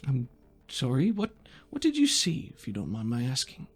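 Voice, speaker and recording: A game master voicing a polite, precise male bureaucrat in a tabletop game, speaking in formal, hesitant, measured phrases. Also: mid-range voice, huge pitch swings, slightly rough, soft background music. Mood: apologetic, probing.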